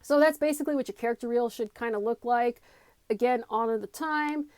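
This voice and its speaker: A woman's voice: on the high side, a little fast, darkish tone, clean tone, no room sound, clean studio sound. A woman giving a casual, unscripted tutorial in a chatty, emphatic, self-deprecating way, recorded on a headphone mic. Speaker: comedy coach, video creator. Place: small cramped room. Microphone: wired or phone headphones mic.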